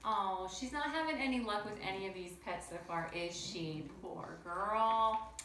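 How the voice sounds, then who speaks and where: A woman's voice: mid-range voice, unhurried, reverberant, a little hiss or hum, rough recording. A woman reading a children's picture book aloud at home, drawing out words and stopping to talk to young listeners. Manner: animated, draws out words, talks to kids directly. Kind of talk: children's read-aloud with asides. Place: home room with pets nearby.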